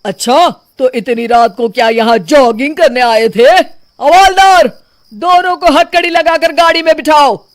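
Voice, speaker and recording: A woman's voice: high-pitched, measured pace, no room sound, clean studio sound. A woman voicing a stern, authoritative police officer in a cartoon, with a commanding manner.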